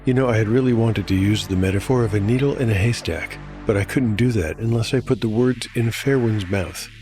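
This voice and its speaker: A man narrating a scripted audio drama as game master, with a measured storyteller's delivery. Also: fairly low pitch, a little mellow. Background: music.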